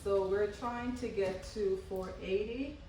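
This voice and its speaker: A woman's voice: mid-range voice, steady tone, takes it slowly, warm tone, a little hiss or hum. A woman from Guyana with a Guyanese accent, casually demonstrating candle making in her home garage and chatting as she works. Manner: chatty, loosely repeats herself while working. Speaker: candle maker. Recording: echoey garage, mic far off. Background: passing cars and lawn mowing.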